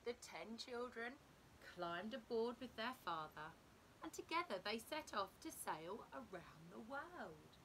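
Woman doing storytime for little kids, slow and really singsongy up and down, bit of room noise. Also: fairly high pitch, lively intonation, fairly bright tone, low-quality recording. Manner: deliberate, emphatic storytelling for young children. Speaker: teacher reading to children. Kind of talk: expressive picture-book read-aloud.

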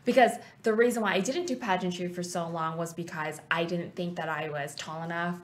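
A woman's voice: fairly low pitch, fairly even intonation, fairly quick. Young adult woman, studio guest, earnest and reflective, casual rambling delivery. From Canada. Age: 20s. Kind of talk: casual interview chat. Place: small TV studio set. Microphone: desk mic on stand.

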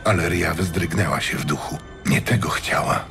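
A man reading scripted dramatic fantasy narration in a measured, solemn storytelling manner. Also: low-pitched, vocal fry, music in the background. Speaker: audiobook narrator.

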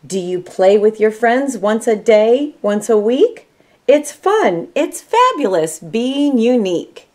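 Woman, middle-aged, Midwestern US accent, children's read-aloud style, expressive, very varied pitch, slow delivery. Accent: American Midwestern. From Michigan, United States. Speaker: volunteer storybook reader. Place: small room at home, indoors.

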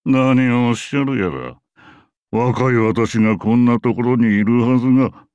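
A man's voice: mid-range voice, a little slow, darkish tone, clear, resonant tone, no room sound, muffled recording, lightly acted. A man voicing a suave, sardonic older villainous mastermind in an anime game, urbane and theatrical. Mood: mock disbelief. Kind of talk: anime game character acting.

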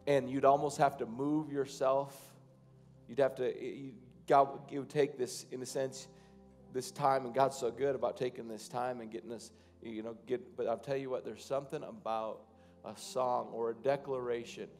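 A man in his thirties, a pastor, preaching and then praying over his congregation from a church stage, with loose, halting phrasing that rises to fervent prayer. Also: mid-range voice, fairly even intonation, darkish tone, soft background music. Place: large church stage, indoors.

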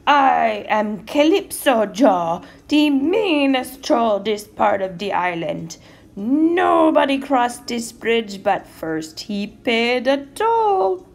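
Woman voicing a loud, mean old troll man. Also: sits high, big pitch swings, takes it slowly, hardly any pauses, voice pushed up, heavily acted. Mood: menacing, booming. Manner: roaring, growling, bullying island-flavoured speech. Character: mean, cranky old male bridge troll. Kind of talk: storybook character voice.

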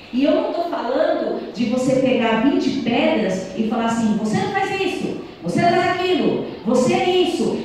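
An earnest woman in her thirties preaches to married couples into a handheld mic. Her voice sits high and carries a strong room echo. It is picked up from across a small church hall. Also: darkish tone, a little hiss or hum. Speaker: couples' speaker. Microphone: handheld mic, far camera. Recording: echoey hall from far off.

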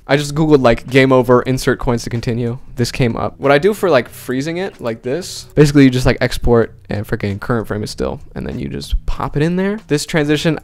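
A young man whose first language is Finnish, talking casually and jokingly into a close handheld mic in a small bedroom as he walks through how he edited a video. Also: mid-range voice. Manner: chatty, jokey, animated. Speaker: video creator. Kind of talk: casual tutorial vlog. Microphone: handheld dynamic mic close up.

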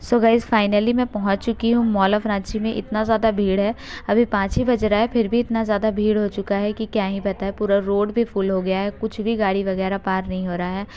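A woman's voice: medium pitch, level tone, brisk, a little mellow. Young woman vlogging, noisy phone recording. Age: young adult. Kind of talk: casual vlog to camera, Hindi mixed with English. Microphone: handheld phone. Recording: noisy phone recording amid crowd and traffic.

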